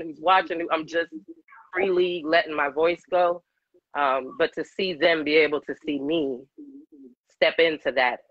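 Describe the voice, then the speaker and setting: A woman in her forties, a singer-songwriter guest with a deep voice, chatting animatedly and laughing often in an interview over a video call. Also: a bit bright, no echo, lo-fi sound. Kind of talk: casual interview chat. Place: home room, video call. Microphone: webcam on video call.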